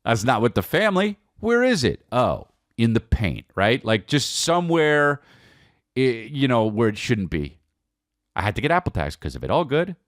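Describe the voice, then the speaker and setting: Man, American accent, comedic podcast monologue, swooping pitch, rambling and repetitive, casual, close dry recording. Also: normal speed, no echo. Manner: animated, rambling, repeats phrases for emphasis, swears freely. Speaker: podcast host telling personal stories.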